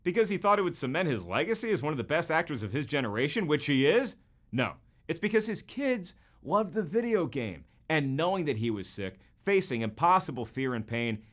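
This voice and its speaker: A man's voice: mid-range voice, fairly bright tone. A man hosting scripted comedic commentary, with a wisecracking manner full of rhetorical questions. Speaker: host and comedian.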